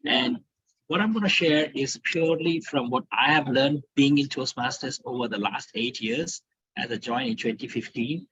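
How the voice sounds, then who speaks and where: A man's voice: mid-range voice, fairly bright tone, slightly rough, dry recording. A middle-aged man from India, a guest speaker, giving a training talk over a video call and telling stories with rising emphasis. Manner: earnest, storytelling with rising emphasis. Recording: slightly dull online call audio.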